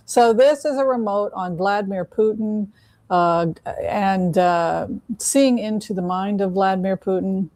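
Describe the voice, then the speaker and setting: Female psychic livestream host, mid-range voice, halting and repetitive, very dry sound. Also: mid-range voice, a little mellow, no room sound. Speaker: host, psychic tarot reader. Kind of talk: casual livestream talk.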